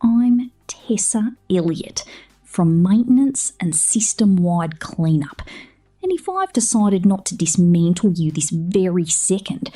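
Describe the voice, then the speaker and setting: A woman speaks slowly in a soft, low-leaning voice that often dips into breathy whispers, with wide swoops in pitch. She plays a possessive space mechanic in an ASMR roleplay, teasing and condescendingly motherly toward her robots. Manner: slow, breathy delivery slipping into whispers.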